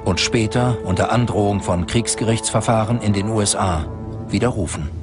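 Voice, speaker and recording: A man narrates a documentary from a script. His voice sits fairly low. Music plays clearly underneath him as he reads. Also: normal speed. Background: background music.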